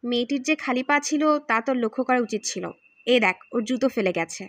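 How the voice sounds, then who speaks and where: A woman voicing a calm, teasing older adventurer uncle in an audiobook, patient and bantering. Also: a little high, fairly quick, dry recording, lightly acted. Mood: instructive. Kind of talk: audiobook character acting.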